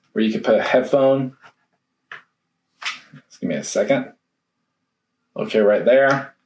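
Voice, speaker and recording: A man giving a casual, hands-on product review, thinking aloud in a halting way. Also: mid-range voice. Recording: roomy echo, faint background noise.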